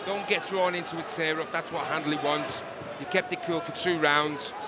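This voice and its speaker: A man's voice: on the high side, steady tone, moderate pace. A man giving conversational live boxing commentary, often repeating his phrases. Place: ringside in a large indoor arena. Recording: loud crowd and arena noise. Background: noisy fight crowd.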